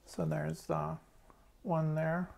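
A man's voice: fairly high pitch, level tone, slow, creaky, darkish tone. A man giving a casual, hands-on demonstration as a hobbyist, thinking aloud a little hesitantly. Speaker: hobbyist restorer presenting a tutorial.

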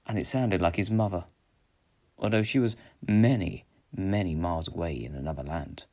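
Low-voiced man reading a storybook. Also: normal speed, darkish tone. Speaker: story reader. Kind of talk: expressive children's storybook narration.

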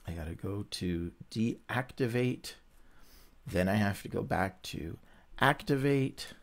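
A man hosting a casual livestream Bible reading, chatty and upbeat, greeting viewers by name, with a runny, stuffy nose. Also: lowish voice.

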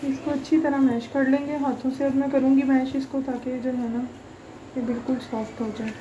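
A woman's voice: high voice, level tone, a little fast, warm tone, lo-fi sound, a little hiss or hum. A young woman hosting a home cooking channel, explaining a recipe step by step in a chatty, homely way with many fillers. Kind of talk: casual cooking tutorial voice-over. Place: home kitchen.

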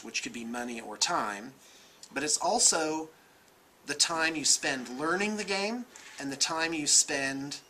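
An American man in his forties giving casual, off-the-cuff opinions in an animated, conversational way. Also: on the high side, a little hiss or hum. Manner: animated, gesturing, conversational, self-qualifying. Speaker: vlogger, miniatures shop owner. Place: indoor room with bookshelves.

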